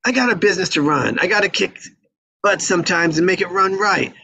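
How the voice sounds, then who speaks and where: A man's voice: high-pitched, a little fast, gravelly, crowd noise. A man voicing a brash, combative mob casino boss in a script read, with a blustering, punchy delivery. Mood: angry, blustering. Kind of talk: live table read of a crime film script.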